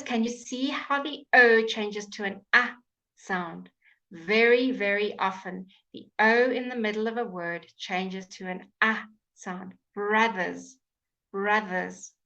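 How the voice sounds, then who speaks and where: A woman's voice: mid-range voice, sharp tone, lo-fi sound. A woman teaching English pronunciation in an online lesson, exaggerating sounds so they can be heard. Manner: slow, careful, exaggerated articulation.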